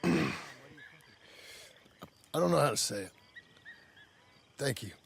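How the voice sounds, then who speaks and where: Gravelly, awkward outlaw father. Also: medium pitch, animated, slow pace, a bit bright, slight background noise, heavily acted. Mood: awkward gratitude. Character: awkward, grateful rough male outlaw father. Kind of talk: dramatic video game acting.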